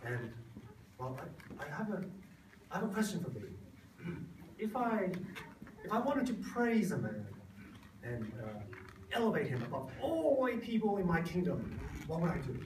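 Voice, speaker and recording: Casting: man as bumbling generous monarch for puppet theatre, swooping high pitch, stammering, echoey distant room. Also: sing-song, normal speed, pauses often. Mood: flustered. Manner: stammering, repetitive, blustering. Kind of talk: amateur puppet show acting. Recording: echoey, distant live room, faint noise.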